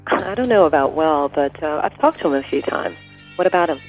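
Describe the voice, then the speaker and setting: A woman's voice: low voice, animated, a little fast. Woman acting a dry skeptical hospital worker, radio soap, bit muffled, faint music. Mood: puzzled. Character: fair-minded, sarcastic female hospital worker. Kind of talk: audio soap opera acting.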